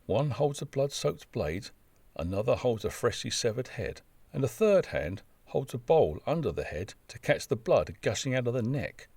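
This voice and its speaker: A man's voice: mid-range voice, sing-song, moderate pace, gravelly, darkish tone, slightly rough, no room sound. A man reading a scripted travel narration in a wry, wordy, descriptive manner. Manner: wry, dry-humoured, wordy descriptive delivery.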